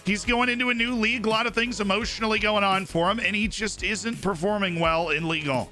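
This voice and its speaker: A man's voice: high voice, quick pace, bright, forceful tone, soft background music. A young man streaming live, chatting casually and opinionatedly about football, with lots of fillers and asides.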